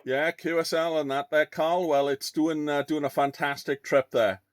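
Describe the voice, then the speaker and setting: A man speaks in a slightly high register. He chats casually as a radio operator, pausing and doubling back on his phrases as he goes. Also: steady tone, no echo, studio quality. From Wales. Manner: hesitant, repeats himself. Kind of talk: casual radio contact chat.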